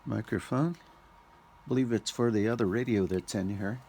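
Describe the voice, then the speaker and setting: A man giving casual, unscripted unboxing commentary as a vlogger, speaking in short clipped remarks with pauses. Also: fairly low pitch, darkish tone, a little hiss or hum.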